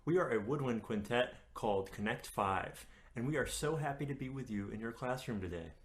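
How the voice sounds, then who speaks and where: A man who plays French horn, clearly explaining his instrument to schoolchildren. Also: mid-range voice, expressive, fairly quick. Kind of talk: introducing an instrument to children. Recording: roomy.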